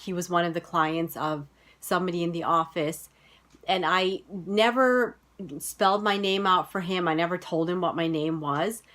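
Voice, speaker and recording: Chatty American woman vlogger, lowish voice. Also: a bit bright. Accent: General American. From United States. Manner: chatty, many fillers like "you know" and "like". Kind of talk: casual personal storytelling to camera.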